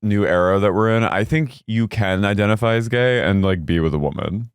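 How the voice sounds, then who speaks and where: A man with a smooth, resonant voice co-hosts a casual podcast chat. He gushes over gossip in a campy, playful way. The sound is dry and close. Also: fairly low pitch, a little fast, dry recording. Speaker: podcast co-host.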